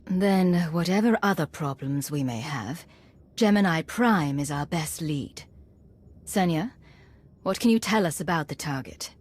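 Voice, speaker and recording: A decisive female military commander in a video game. Her voice sits low, with a measured, authoritative delivery and a wary edge. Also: animated, a little mellow, slightly rough, exaggerated voice. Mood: resolute, wary. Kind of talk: video game cutscene acting.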